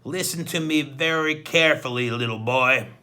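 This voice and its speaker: Storybook villain's henchman, played by a male reader: croaky, loud, higher-pitched, threatening, sharply emphatic. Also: moderate pace. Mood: menacing. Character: menacing hook-handed henchman, sneering threatening man.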